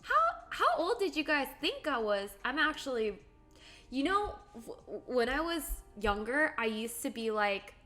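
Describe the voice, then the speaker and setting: A woman's voice: sits high, measured pace, soft background music. Bubbly young woman chatting on a livestream. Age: late 20s. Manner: bubbly, chatty, reads viewer comments aloud and squeals with excitement. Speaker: livestream host. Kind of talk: casual chat while drawing on stream.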